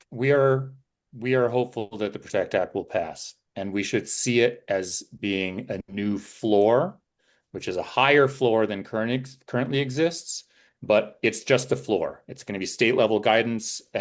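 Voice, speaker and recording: A man speaking as a panelist on a video call, measured and hedging, often restarting his sentences. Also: fairly low pitch.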